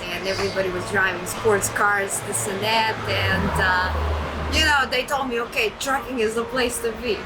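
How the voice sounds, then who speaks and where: Woman from Serbia, young adult, chatty interview guest, halting with fillers, loud steady noise behind. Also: mid-range voice. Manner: chatty, self-deprecating, many fillers and restarts. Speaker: guest, trucking entrepreneur. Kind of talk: casual interview storytelling.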